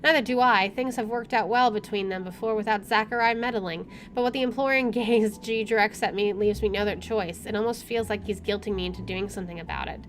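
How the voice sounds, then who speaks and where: A woman's voice: medium pitch, brisk, few pauses, a little hiss or hum. A woman doing a let's play, reading story text aloud expressively with informal asides. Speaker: gaming YouTuber. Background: game music.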